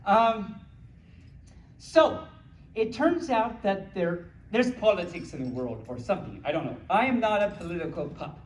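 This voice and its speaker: A playful storyteller reading picture books aloud to a small audience, with childlike, cutesy phrasing and excited asides. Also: medium pitch, normal speed. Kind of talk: playful live story time with ad-libbed asides. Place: small room at a convention. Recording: echoey room from far off, faint noise.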